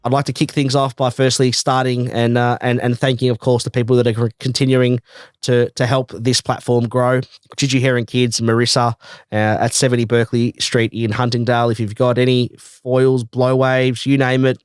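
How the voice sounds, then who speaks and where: A man's voice: medium pitch, flat delivery, moderate pace, smooth, dry recording, clean studio sound. A man with an Australian accent hosting a casual podcast interview, effusive and often repeating words for emphasis. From Australia, Melbourne. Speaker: podcast host.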